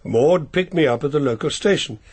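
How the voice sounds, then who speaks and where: An English actor in a British radio drama playing a courteous older family solicitor with a formal, politely understated manner. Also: male voice, on the high side, lively intonation, a little fast, a little mellow, breathy edge. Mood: polite, measured. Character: courteous, discreet older male family solicitor, dryly tactful.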